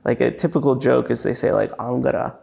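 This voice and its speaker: A young man with a strongly gravelly, creaky voice chats as a podcast guest. He talks in a relaxed, thoughtful way and fills his pauses with frequent ums. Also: medium pitch. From San Diego, California. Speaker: guest, PhD student. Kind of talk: casual podcast chat.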